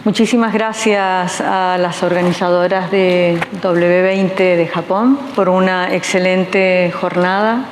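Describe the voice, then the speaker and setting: A woman's voice: on the low side, flat delivery, a little slow, hardly any pauses, slight background noise. A woman from Argentina with an Argentine accent, a native Spanish speaker, giving formal remarks at a conference in careful, hesitant English. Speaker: panelist.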